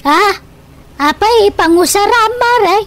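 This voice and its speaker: A woman's voice: very high-pitched, expressive, slow, slight background noise, exaggerated voice. A woman playing a helpful, familiar, chatty village neighbour in a radio comedy. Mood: friendly, curious. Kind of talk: comedy radio drama acting.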